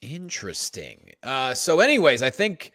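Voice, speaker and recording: A man's voice: medium pitch, huge pitch swings, moderate pace, a bit bright, no room sound, studio quality. A man co-hosting a comedy podcast with slangy, showman-like casual banter and plugs. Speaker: event announcer and performer.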